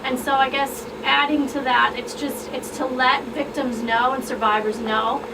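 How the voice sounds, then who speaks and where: A woman's voice: sits high, little pitch movement, normal speed. A woman speaking as a radio panel guest, reassuring and repeating herself. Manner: reassuring, repetitive. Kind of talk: supportive statement. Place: radio studio. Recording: distant mic with clear background noise.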